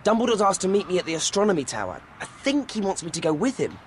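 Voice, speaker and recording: Game role: earnest English teen wizard, high voice, very animated, noisy effects behind. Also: male voice, fast. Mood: matter-of-fact. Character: earnest, determined teenage schoolboy wizard. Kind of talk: video game voice acting.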